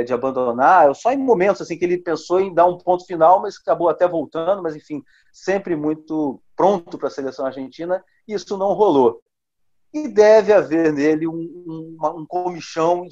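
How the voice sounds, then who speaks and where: A man discussing football in casual talk, thoughtful, often pausing on fillers. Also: on the high side, darkish tone, lo-fi sound. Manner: thoughtful, with hesitations. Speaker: football commentator. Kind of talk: podcast discussion.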